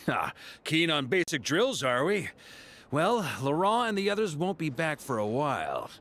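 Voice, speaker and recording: A man's voice: on the high side, big pitch swings, normal speed, a bit bright. Jolly game knight captain, folksy and boisterous, loves his booze, voice swings all over. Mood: amused, reminiscing. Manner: jovial, folksy, clipped storytelling. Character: hearty, boisterous adult male knight commander, fond of drink. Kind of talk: video game voice acting.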